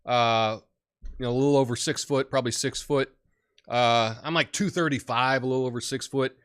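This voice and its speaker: A man hosting a political commentary show, who talks in an opinionated, rambling way with many fillers and has a big booming voice. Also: steady tone, brisk, fairly bright tone, clean tone. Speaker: podcast host.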